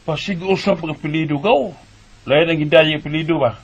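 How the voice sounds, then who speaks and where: A man acting out a cousin who jokes and teases his relative. His voice is slightly high, and he talks with an easy, chuckling manner. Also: brisk, slightly rough, muffled recording. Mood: teasing. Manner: teasing, chuckling. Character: joking cousin who nudges him to use social media. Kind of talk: radio drama acting.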